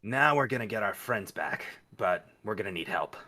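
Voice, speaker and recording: A man voicing a gruff, stubborn action hero in a table read, terse and deadpan. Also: on the low side, normal speed, dry recording. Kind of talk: live script table read.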